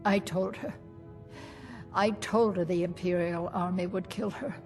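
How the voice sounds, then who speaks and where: A woman's voice: lowish voice, steady tone, a little slow, a little mellow, faint music behind. A woman playing a stoic, grieving mother in a fantasy game. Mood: grieving restraint. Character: grieving, stoic mother in a war-torn town.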